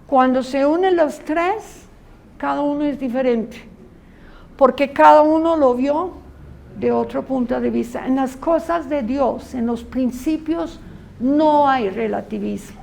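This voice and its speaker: Slow, gravelly-voiced woman teacher. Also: high-pitched, darkish tone. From Colombia. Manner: earnest, conversational teaching with asides. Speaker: Bible institute teacher. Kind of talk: classroom lecture.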